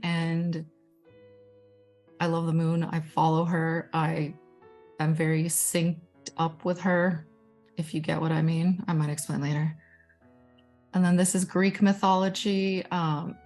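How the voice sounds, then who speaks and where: Chatty young woman, lower voice, soft music. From Guatemala. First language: Spanish. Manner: chatty and enthusiastic, self-deprecating asides. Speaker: digital storytelling manager. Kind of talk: informal presentation showing her artwork.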